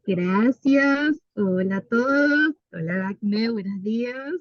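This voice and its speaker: A woman's voice: a little high, expressive, takes it slowly, no echo. A woman on a video call, chatting casually and steering the conversation in a friendly way. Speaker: co-speaker moderating. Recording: dull video call audio.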